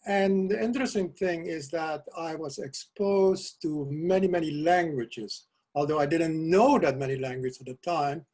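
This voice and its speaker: An older man, a Dutch native speaker from South America, talking as a guest poet over a video call from a cluttered home office, soft-spoken and hesitant with restarts. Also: on the high side, normal speed, hardly any pauses. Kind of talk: casual video call interview. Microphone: video call webcam.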